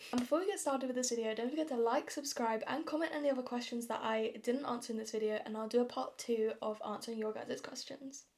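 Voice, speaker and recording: A woman's voice: fairly high pitch, fairly even intonation, quick pace, hardly any pauses, darkish tone. A nineteen-year-old woman with a southern English accent chatting casually and self-deprecatingly to viewers in a vlog. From London, England. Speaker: vlogger. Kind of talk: casual get-ready-with-me chat to viewers.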